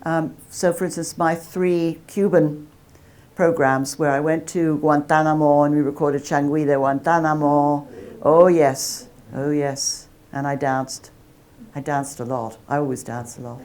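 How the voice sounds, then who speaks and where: A woman's voice: sits low, fairly even intonation, unhurried, a little mellow. A woman academic speaking at a talk and answering audience questions, chatty and self-deprecating, with frequent restarts.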